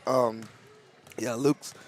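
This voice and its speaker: A man who coaches basketball, with a raspy voice, talking easily in a postgame interview in a school gym. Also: medium pitch, steady tone, very slow, a little hiss or hum. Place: high school gymnasium.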